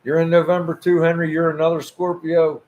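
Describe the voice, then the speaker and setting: A man in his fifties hosts a laid-back live show with his wife. His voice sits a little high, and he talks in an easygoing way, tripping over his words and laughing often. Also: little pitch movement, a little fast, dull audio, a little hiss or hum. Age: 50s. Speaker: host. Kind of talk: casual livestream chat.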